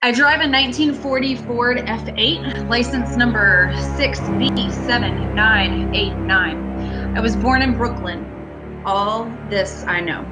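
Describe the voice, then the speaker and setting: A woman acting a haunted traveller in her thirties in an amateur radio thriller, urgent and halting, repeating phrases for emphasis. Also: fairly high pitch, rough recording. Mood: tense, desperate. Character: haunted 36-year-old woman traveller, shaken but insisting she's sane. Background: music and rain.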